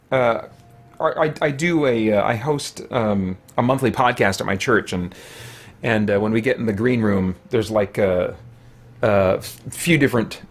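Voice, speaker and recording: A man in his forties giving casual livestream game commentary, halting as he thinks aloud. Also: mid-range voice. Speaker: video game streamer and podcast host. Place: small room at home, indoors.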